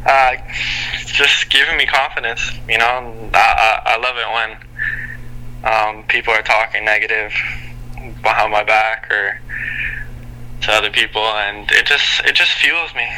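A man's voice: lowish voice, steady tone, pushed and bright, poor audio. A young Canadian man, a basketball player, giving interview answers full of fillers and restarts. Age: young adult. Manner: halting, many fillers and restarts.

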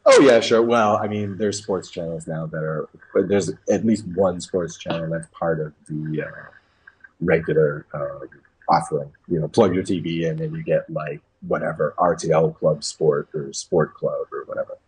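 A man's voice: a bass voice, steady tone, normal speed, slight background noise, rough recording. An American man commenting casually on basketball, opinionated and repeating phrases for emphasis. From New England, United States. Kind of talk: casual sports talk.

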